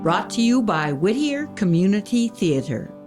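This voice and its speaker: A woman's voice: mid-range voice, big pitch swings, normal speed. A woman announcer reading scripted closing credits for a radio play, with guitar music behind her. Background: prominent plucked guitar music.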